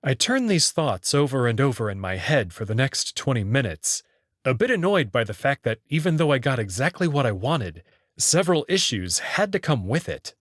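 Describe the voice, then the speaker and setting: A man narrating a visual novel read-through as its host, in an expressive, scripted storytelling style. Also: medium pitch, moderate pace, no echo, studio quality. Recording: music behind the voice. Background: background music.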